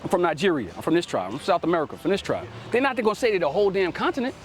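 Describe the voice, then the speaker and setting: A man's voice: high-pitched, big pitch swings, rapid-fire, a little hiss or hum. A man preaching outdoors in street teaching style, ending nearly every sentence with "right?" and telling a helper to read. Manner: commanding, calls on the reader to read. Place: outdoors in a city.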